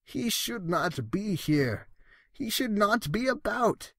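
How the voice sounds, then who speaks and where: Man playing small female storybook fish, high-pitched, lively, anxious, protesting, insistent. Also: slow pace, breathy edge, dry recording, studio quality, exaggerated voice. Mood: alarmed, scolding. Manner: fretful, insistent, exclaiming.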